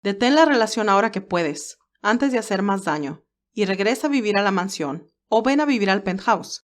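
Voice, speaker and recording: A woman's voice: medium pitch, animated, normal speed, natural voice. A woman voicing a stern, protective older male advisor in an audio drama, speaking in an earnest, admonishing way.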